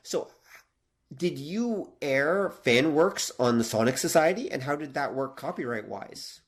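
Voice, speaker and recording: A man's voice: on the high side, lively intonation, a little slow. A man casually moderating a podcast discussion, asking questions and steering the talk.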